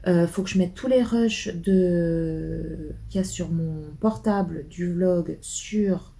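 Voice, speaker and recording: Une femme qui tient un vlog, bavarde et décousue, avec beaucoup d'hésitations et de répétitions. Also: female voice, fairly low pitch, mellow. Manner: chatty and rambling, many fillers and repeats. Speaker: vlogger. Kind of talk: casual chat to camera.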